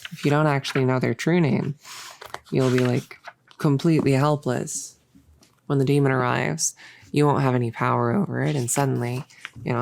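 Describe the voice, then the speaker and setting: A very low, creaky-voiced young cultist played by a woman in an intimate audio roleplay. She is bossy and possessive, with a giddy, playful smugness, and rambles casually as if thinking aloud. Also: a little mellow, a little hiss or hum. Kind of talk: intimate roleplay audio, improvised-sounding monologue.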